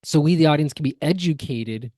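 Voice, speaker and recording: A woman's voice: low-pitched, a little fast, warm tone, no room sound. A woman co-hosting a podcast, giving casual reaction commentary in an analytical, critical, explanatory manner. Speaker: podcast co-host.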